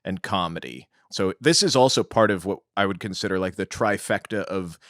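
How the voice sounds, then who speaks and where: A man's voice: low-pitched, fairly quick, fairly bright tone, no room sound, studio quality. A young American man co-hosting a podcast, explaining things in a casual, conversational style. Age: young adult. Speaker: podcast co-host.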